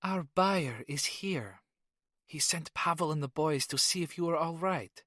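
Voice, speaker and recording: A man voicing a cold, ruthless young woman operative in an audiobook, calm and commanding. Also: fairly high pitch, measured pace, dry recording, clean studio sound, voice pushed up. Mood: cool. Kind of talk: audiobook character voice.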